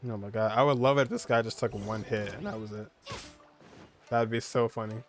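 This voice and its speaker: A man's voice: on the low side, a little fast, faint music behind. A man giving casual playthrough commentary as a gaming streamer.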